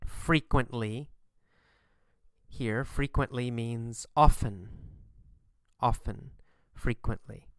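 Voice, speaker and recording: A man's voice: medium pitch, slow pace, long pauses, dry recording, clean studio sound. A man teaching a vocabulary lesson, repeating key words and explaining them in simple terms. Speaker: English teacher.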